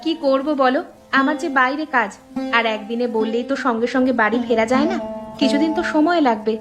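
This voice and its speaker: A young, high-pitched elder daughter from a cartoon village family acted by a woman. She is polite and dutiful, explaining herself over clear background music. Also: a little fast, lightly acted. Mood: apologetic. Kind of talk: cartoon dialogue acting.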